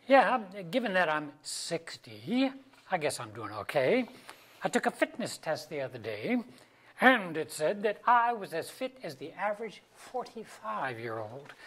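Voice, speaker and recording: A woman reading the part of a wry, self-deprecating sixty-year-old husband in a staged comedy. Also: sits low. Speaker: actor in a staged play reading. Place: museum auditorium stage.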